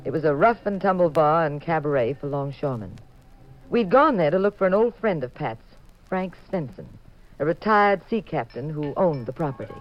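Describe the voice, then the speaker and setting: An actress playing a witty, prim, jealous detective's wife in a 1950s radio comedy-mystery, with a polished, arch delivery. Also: female voice, sits low, lively intonation, moderate pace. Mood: wry, storytelling. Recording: dull 1950s radio recording, faint music.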